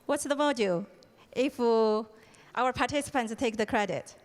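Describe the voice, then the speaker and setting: A woman's voice: a little high, normal speed. A woman organizing a program, giving an opening ceremony address with frequent hesitations and fillers. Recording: hall reverb.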